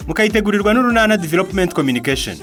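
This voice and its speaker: Male narrator, scripted radio announcing, fairly high voice, animated intonation, addresses listeners. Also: racing, loud music behind the voice. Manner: posing questions to listeners.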